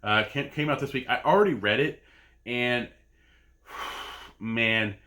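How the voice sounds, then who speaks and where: Casting: man in his thirties, comic podcast host, bright and forward tone, rambling, chatty delivery full of ums and self-corrections. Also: medium pitch, slow. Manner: rambling and chatty, with many fillers and restarts. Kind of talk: casual review of a weekly comic pull list. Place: home basement.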